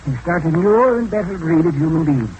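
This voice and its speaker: An actor playing a crusty, grumbling old hermit with quaint, folksy speech in a radio adventure serial. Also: male voice, fairly high pitch, animated, brisk, a little mellow, highs cut off, lightly acted. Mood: solemn. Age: older. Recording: noisy old radio drama recording.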